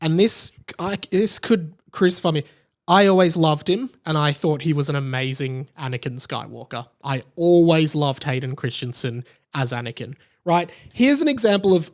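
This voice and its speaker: A man hosting a podcast, talking casually and emphatically in an informal, gushing fan style. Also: a little high. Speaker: podcast host. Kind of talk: casual opinionated podcast monologue about pop culture.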